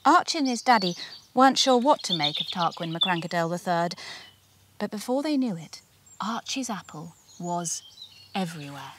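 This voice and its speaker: A woman reading her own children's storybook aloud in an expressive, deliberate storyteller's manner with dramatic emphasis. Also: a little high.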